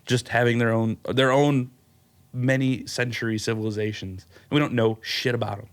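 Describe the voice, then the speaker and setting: A man's voice: medium pitch, normal speed. Young midwest guy hosting a podcast, laughs a lot, blunt, talks loose. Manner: animated, laughing, blunt and joking. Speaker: podcast host. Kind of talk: casual chat.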